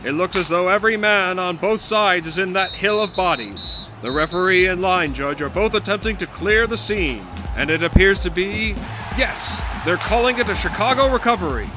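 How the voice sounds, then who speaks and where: An actor playing an excited, florid 1920s radio football announcer calling play-by-play in a period drama. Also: male voice, high-pitched, normal speed, over music. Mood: brisk, engaged. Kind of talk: 1920s radio sports commentary.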